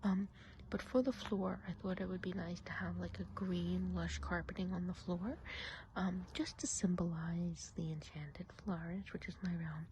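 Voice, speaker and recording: A woman playing a friendly, chatty witch of the woods in an ASMR roleplay, whispering softly and breathily in an unhurried, musing way. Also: on the low side, little pitch movement, a little hiss or hum, lightly acted. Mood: musing, gentle. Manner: unhurried, gossipy, hesitant musing.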